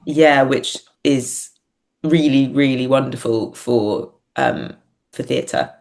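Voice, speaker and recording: A woman speaks as a podcast guest with a low voice and plenty of vocal fry. She is thoughtful and a little hesitant, reflecting in a self-effacing, conversational way. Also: takes it slowly, a little mellow. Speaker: podcast guest, playwright and performer.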